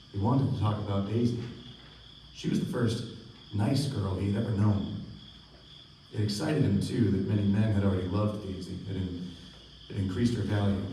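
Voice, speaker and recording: A man's voice: fairly low pitch, mellow, natural voice. A man playing a reflective, melancholy narrator and loyal friend in a scripted live radio play. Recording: echoey distant stage recording, faint noise.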